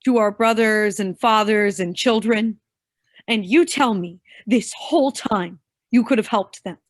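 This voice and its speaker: A woman's voice: medium pitch, measured pace, no echo, lightly acted. Woman acting, young reluctant henchwoman, bitter, defiant, mid-range voice, play reading. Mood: angry, accusing.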